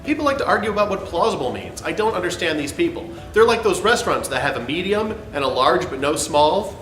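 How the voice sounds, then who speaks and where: A man's voice: on the high side, animated, fast, fairly bright tone, far from the mic. A man giving an emphatic, sarcastic dramatic reading of an argumentative script. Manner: theatrical, emphatic, sarcastic delivery. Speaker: host reading his own essay. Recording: roomy, off-mic sound with background music.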